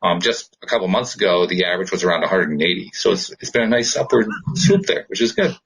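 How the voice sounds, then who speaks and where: A man's voice: sits low, expressive, quick pace, creaky, a bit bright, a little hiss or hum, rough recording. A man co-hosting a podcast in a casual, reflective way, often rambling and searching for words. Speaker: podcast co-host. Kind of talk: casual spiritual chat.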